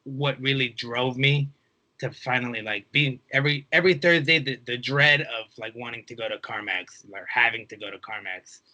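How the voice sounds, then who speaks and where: A man's voice: medium pitch, normal speed, bright, forceful tone, poor audio. A man in his twenties giving a casual interview, telling stories informally and quoting his own thoughts. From United States, raised there from age three after birth in Mexico. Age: 20s. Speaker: interview guest, reseller. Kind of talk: casual chat.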